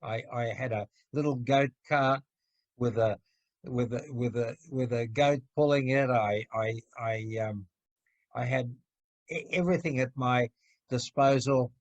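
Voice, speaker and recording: A man's voice: mid-range voice, a little slow, dry recording, muffled recording. Older man, dry close sound. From Slovakia. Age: 80s. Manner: frank, stumbles on repeats. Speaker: Holocaust survivor interviewee. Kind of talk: personal testimony. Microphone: video call.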